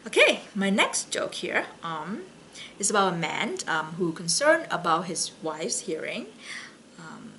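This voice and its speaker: Slow, bright-voiced woman telling jokes casually. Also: fairly low pitch, very animated, slight background noise. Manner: halting, chatty, with false starts and slips. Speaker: library story and joke reader. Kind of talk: casual read-aloud of jokes with personal chat.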